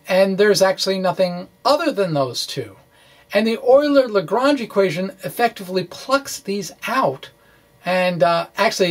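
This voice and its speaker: A man presenting physics in an informal lecture style, with halting delivery, false starts and folksy, playful phrasing. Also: high voice, gravelly. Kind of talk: informal lecture walkthrough of equations.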